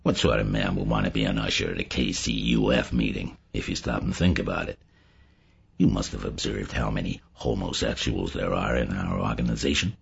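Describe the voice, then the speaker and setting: Man as sly convention delegate, audiobook character, deep husky murmur, bland insinuation, slightly muffled. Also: very animated, measured pace, exaggerated voice. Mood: bland, lofty. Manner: smooth-talking, confiding, insinuating. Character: sly, bland male infiltrator posing as prim convention delegate.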